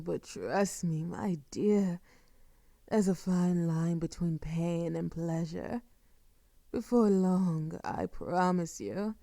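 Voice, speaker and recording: Woman acting a slow-speaking, seductive sadistic vampire mistress. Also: fairly low pitch, mellow, no room sound, studio quality. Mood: savoring, sadistic. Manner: slow, commanding, mock-tender, often dropping to a breathy whisper. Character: sadistic, seductive female vampire, possessive and doting. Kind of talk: intimate audio roleplay acting.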